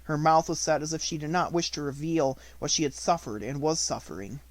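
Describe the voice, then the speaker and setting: A man's voice: on the high side, fairly quick, no echo. A man reading scripted narration from a children's novel as an audiobook narrator.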